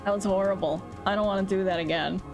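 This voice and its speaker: A woman's voice: medium pitch, fairly even intonation, fast talker. A woman with a scratchy, strained voice, talking bluntly through a game and swearing often. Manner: blunt, frequent swearing, rambling. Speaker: gaming streamer. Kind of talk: casual gameplay commentary. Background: game music.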